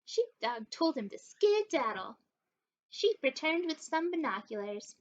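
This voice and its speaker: A young woman doing an expressive picture book read-aloud, addressing young children as a story time reader. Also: sits high, unhurried, dull audio. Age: young adult. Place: living room indoors.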